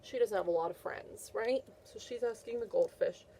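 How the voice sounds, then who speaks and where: A woman's voice: mid-range voice, moderate pace, vocal fry, mellow, a little hiss or hum, low-quality recording. A woman teacher reading a picture book aloud to young children, repeating phrases and checking in with simple questions.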